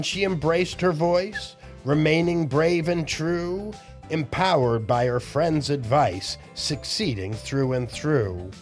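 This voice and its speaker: An older male children's entertainer reads and chats to young listeners in a fairly high, swinging voice. He takes his time and plays it theatrically, with soft music underneath. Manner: animated, theatrical, addressing young listeners directly. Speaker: children's storytime host and entertainer. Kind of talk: rhyming read-aloud narration and cheery chat to children.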